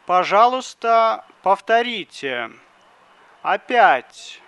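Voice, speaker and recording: A man reads drill phrases for a language lesson, pronouncing each word with great care. He speaks very slowly. His voice is fairly high and smooth. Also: sing-song, fairly bright tone, no room sound. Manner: deliberate, carefully articulated phrases. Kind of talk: slow phrasebook drill reading.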